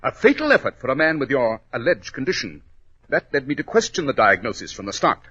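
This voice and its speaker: An actor playing a shrewd, confident gentleman detective, precise and formal, in a 1940s radio drama. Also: mid-range voice, very animated, brisk, breathy edge, full character voice. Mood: stern, accusing. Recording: dull old radio recording.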